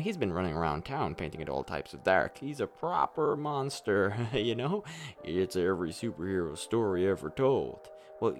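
A man acting a weary, hard-drinking, despairing superhero in a darkly comic radio play, brooding and rambling with a sardonic edge. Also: low-pitched. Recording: music playing under the voice.

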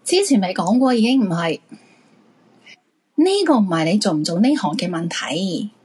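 A woman's voice: medium pitch, lively intonation, moderate pace, a little mellow, breathy edge. An actress playing a nagging but caring middle-aged wife and mother in a live radio drama, with a Hong Kong Cantonese accent. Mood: sceptical, nagging.

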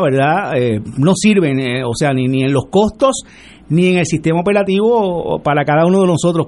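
A man with a Puerto Rican accent, a solar company representative giving a persuasive radio interview pitch and leaning on fillers like "eh". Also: a little mellow. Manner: persuasive, filler-heavy with "eh" and "¿verdad?".

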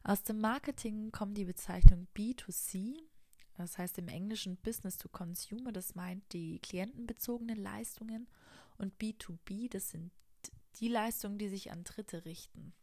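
A woman with a cold hosting an informative podcast, explaining things in an even monologue with the odd filler. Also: medium pitch, normal speed. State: has a cold. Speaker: podcast host.

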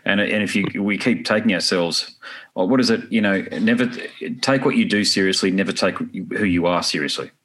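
Forties male interviewer, very low voice, blunt and profane. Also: fairly quick, a bit bright, low-quality recording. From Australia. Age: 40s. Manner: blunt, swears freely, repeats words. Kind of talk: casual interview chat.

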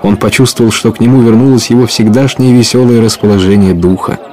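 A man's voice: lowish voice, fairly even intonation, fast talker, dark tone, a faint crowd. A man narrating an adventure audio play in a scripted storytelling style.

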